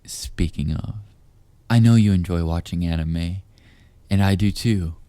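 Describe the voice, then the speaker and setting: Man, dark mellow tone, unhurried, intimate roleplay monologue, teasing, very dry close mic. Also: deep voice, dry recording. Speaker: voice actor. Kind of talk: intimate audio roleplay monologue, addressing listener as darling.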